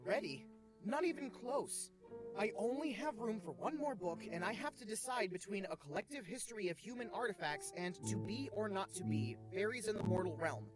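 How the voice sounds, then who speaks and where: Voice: medium pitch, animated, a little fast, far from the mic, close to an everyday voice. A bookish adventurer as an actor plays it, set a little back from the mic with music underneath. The delivery swoops widely, fussy and theatrically put-upon, in an audio drama style. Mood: exasperated. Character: bookish, fussy, resentful adventurer named Dominic.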